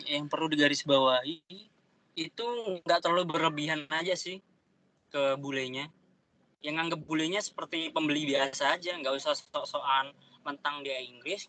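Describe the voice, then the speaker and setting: Young man, bright tone, fairly high pitch, chatty, slangy, interview answers. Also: poor audio. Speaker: interviewee, trader. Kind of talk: casual interview chat.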